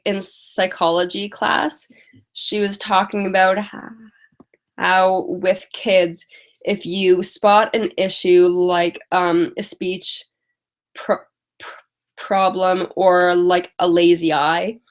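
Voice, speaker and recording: Young woman w a stutter, kinda low voice, talks real slow, soft chatty live stream vibe. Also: little pitch movement. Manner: stutters, repeating first sounds. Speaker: vlogger. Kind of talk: casual live stream chat.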